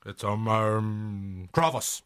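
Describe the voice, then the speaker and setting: An actor playing a jaded, easily flustered film director in a radio drama, hesitant and blunt. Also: male voice, lowish voice, fairly even intonation, extremely slow, fairly bright tone, smooth.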